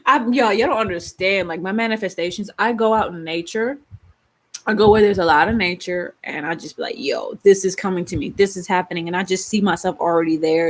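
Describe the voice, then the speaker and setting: A young woman from Baltimore with a Baltimore accent, hosting a casual live stream with rambling, laughing talk and lots of fillers. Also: on the low side. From Baltimore, Maryland. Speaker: livestream host.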